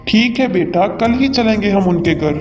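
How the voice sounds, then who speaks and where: A man's voice: sits high, lively intonation, moderate pace. A man voicing a stern, self-serving father bird in a children's cartoon, speaking in a plain declamatory way. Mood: pleased. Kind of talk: Hindi children's cartoon dubbing. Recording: long hall reverb, music underneath.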